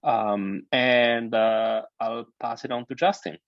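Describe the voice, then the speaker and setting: A man's voice: medium pitch, steady tone, slow, dry recording. A man with an Italian accent introducing himself on a video call, hesitant and full of "uh" fillers. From Italy. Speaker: book club member introducing himself. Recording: dry, dull lo-fi call audio.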